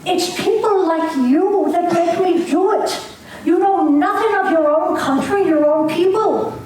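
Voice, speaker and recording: A woman's voice: very high voice, a little slow, darkish tone. An older woman playing a fervent young Irish patriot. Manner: earnest, needling, preachy. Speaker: community theater actor. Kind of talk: amateur stage reading. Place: community stage hall. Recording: echoey hall, mic far off, faint hiss.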